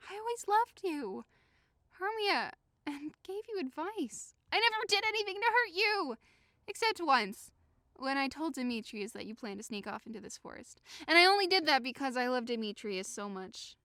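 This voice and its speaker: A woman's voice: high voice, very animated, normal speed, bright, forceful tone, no echo, clean studio sound, heavily acted. A woman playing a timid, lovesick, easily wounded young woman, plaintive and melodramatic, in a modernized Shakespeare audio drama. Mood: pleading.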